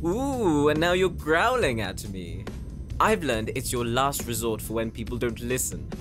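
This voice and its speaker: Man as a lively, lilting, teasing paladin. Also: mid-range voice, a little slow, soft background music. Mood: teasing, smug. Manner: playful, needling, theatrical. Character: teasing, smug, playful male paladin companion. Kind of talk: comedic fantasy audio roleplay.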